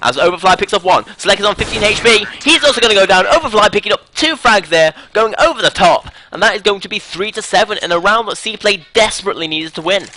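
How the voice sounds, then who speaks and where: A man's voice: high-pitched, expressive, fast talker, few pauses, pushed and bright. A young British man casting a live esports match, upbeat and rambling, joking between rounds on a headset mic. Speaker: esports caster. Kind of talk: live game commentary.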